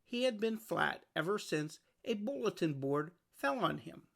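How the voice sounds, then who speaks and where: A storybook narrator reading aloud for children. The voice sits at a medium pitch and has clear vocal fry in it. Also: animated, unhurried, fairly bright tone. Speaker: storybook reader.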